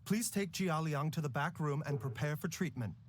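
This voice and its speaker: An actor playing a composed young male doctor giving quiet, steady orders in a game. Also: a little high, normal speed, faint music behind, natural voice. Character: calm gentle male doctor, young adult.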